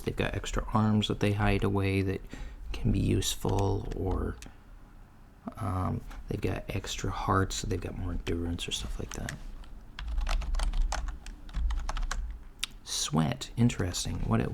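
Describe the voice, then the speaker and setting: A man playing a mild, matter-of-fact alien floor manager in a soft-spoken, hesitant ASMR job interview roleplay. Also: creaky. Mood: curious. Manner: hesitant, low-key, procedural, murmured acknowledgements. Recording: lo-fi with faint background noise. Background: computer keyboard typing and clicks.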